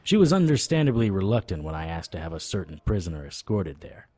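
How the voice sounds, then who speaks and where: An actor playing a wry, tough supernatural private investigator in a noir audio drama, with a deadpan, sardonic delivery. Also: male voice, sits low, quick pace, no echo. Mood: wry narration.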